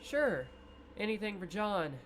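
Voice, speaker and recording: A man's voice: high voice, very animated, unhurried, fairly bright tone, slight background noise, voice pushed up, heavily acted. A man putting on a sweet eager young woman.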